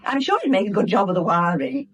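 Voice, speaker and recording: An actress playing a chatty, affectionate, pushy English wife in a 1970s radio drama. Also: female voice, mid-range voice, huge pitch swings, fast talker, husky.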